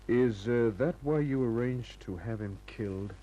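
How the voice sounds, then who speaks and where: An actor playing a hard-boiled, cynical private detective in a 1950s radio crime drama, tough and clipped in delivery. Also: male voice, mid-range voice, measured pace, warm tone, highs cut off, close to an everyday voice. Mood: tough, pressing.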